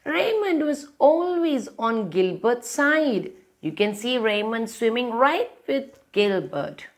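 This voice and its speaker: A woman's voice: fairly high pitch, very animated, slow. A woman hosting a children's storytime, reading a picture book aloud and pointing out the pictures.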